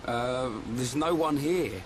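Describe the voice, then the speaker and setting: A man's voice: medium pitch, slow, a little hiss or hum, lightly acted. A man voicing a brash, teasing young warrior sidekick in a video game, blunt and colloquial. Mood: skeptical.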